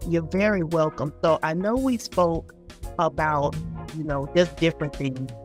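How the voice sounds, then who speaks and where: A woman's voice: low voice, unhurried, soft background music. A woman hosting a podcast, introducing and questioning a guest in a conversational way. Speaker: podcast host.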